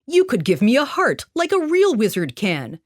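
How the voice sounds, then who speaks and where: A sad, weary tin man from a storybook, acted out by a woman. He is courteous and plaintive, with expressive pitch and a close, dry sound. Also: normal speed, no echo. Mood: longing. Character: tired, sad tin man, polite and longing.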